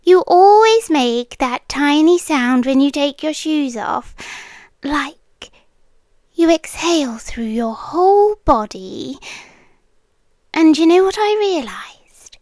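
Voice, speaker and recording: A woman's voice: high-pitched, expressive, slow pace, darkish tone, dry recording. A British woman playing a possessive, doting yandere landlady girlfriend in an ASMR roleplay, cooing intimately and slipping into whispers close to the mic. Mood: sweetly menacing. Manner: cooing, breathy asides that drop into whispers. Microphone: close, dry microphone.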